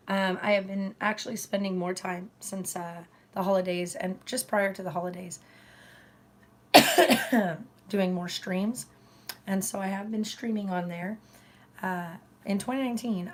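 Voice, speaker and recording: A woman hosting her own live stream, chatting casually with viewers, her voice hoarse from a recent illness, halting with many fillers and throat-clearing. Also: on the low side. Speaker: channel host streaming live.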